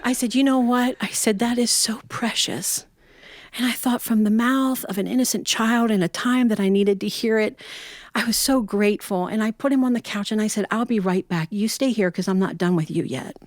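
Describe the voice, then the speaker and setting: A middle-aged woman tells funny personal stories like a seasoned storyteller. Her delivery is folksy and animated, slipping into quoted dialogue, with a medium-pitched voice. The sound is dry, with very little room echo. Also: moderate pace. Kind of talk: humorous personal storytelling to a live audience.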